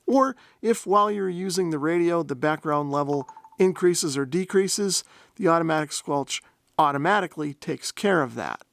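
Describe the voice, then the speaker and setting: A man's voice: fairly high pitch, animated, normal speed, fairly bright tone, dry recording. A man narrating a product demonstration in a methodical, step-by-step explanatory way. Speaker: host of a radio gear review channel.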